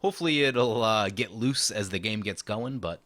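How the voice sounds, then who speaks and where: A slightly hoarse man commenting on a card game he is playing, thinking aloud through his moves in a chatty, explanatory way. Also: fairly low pitch, animated, normal speed, a bit bright, no echo. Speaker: channel host.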